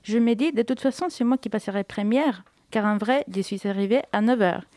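A young woman, a doctoral student, reading her own prepared literary text on air in a radio studio, in a measured, deliberate way. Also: on the high side, a little mellow, dry recording. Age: young adult. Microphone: studio microphone.

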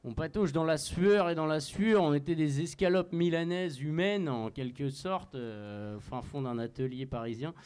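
A man with a French accent from France, a musician guest giving halting, wordy, mock-serious answers in a tongue-in-cheek radio interview. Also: fairly high pitch, a little mellow, clean tone.

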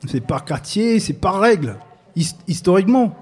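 An American man answering interview questions in halting French, with many pauses and restarts, at a public recording. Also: high voice, sing-song, a little mellow, slightly rough. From United States. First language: English. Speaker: guest artist. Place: public recording at an arts space.

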